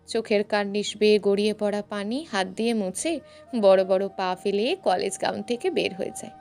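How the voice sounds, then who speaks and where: A woman's voice: medium pitch, moderate pace, a little mellow. A woman reading scripted fiction as a dramatic storyteller. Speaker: story narrator. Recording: faint music behind the voice. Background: faint music.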